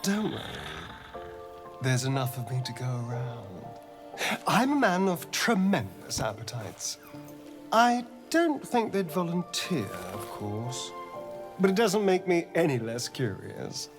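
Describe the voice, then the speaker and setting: Sly vampire, vain, flirtatious, unhurried, widely swooping pitch, video game drama. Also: male voice, very animated, music in the background. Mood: relishing, flirtatious. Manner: theatrical, flirtatious, arch, drawn-out delivery. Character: sly, vain male vampire, centuries old, hungry for power.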